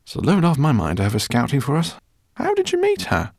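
A man's voice: fairly high pitch, huge pitch swings, fairly quick, vocal fry, a little mellow, no echo, studio quality, pitched above the performer's own voice, exaggerated voice. A man reading an audiobook, voicing a kindly, flustered older male professor.

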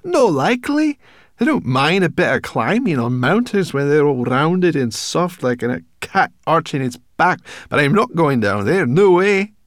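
A man's voice: medium pitch, expressive, normal speed, creaky, no echo, studio quality, pitched above the performer's own voice. A man voicing a feisty, blunt, food-loving female brownie creature for a children's storybook. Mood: stubborn, alarmed. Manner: blunt, complaining, bossy.

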